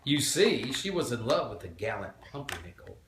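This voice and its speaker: An older man reading a children's storybook aloud in an animated, grandfatherly style. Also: mid-range voice, normal speed, a crowd in the background. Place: indoors at home, children around. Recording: echoey room, background noise.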